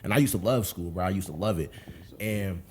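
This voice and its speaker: A man's voice: deep voice, fairly quick, a little hiss or hum. A man, chatting casually as a podcast guest in a laid-back, rambling way. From Buffalo, New York, USA. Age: 20s. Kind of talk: casual chat.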